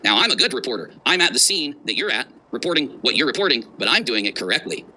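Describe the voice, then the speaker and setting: A male livestream host delivers mocking reaction commentary at a quick clip. His voice is very bright and forceful, and he leans hard on sarcasm and emphasis. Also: medium pitch, very animated, rough recording.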